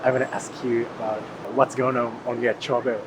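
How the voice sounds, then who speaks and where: Casting: male street interviewer, simple halting English, mid pitch, clear ambient noise. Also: little pitch movement. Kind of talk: casual interview.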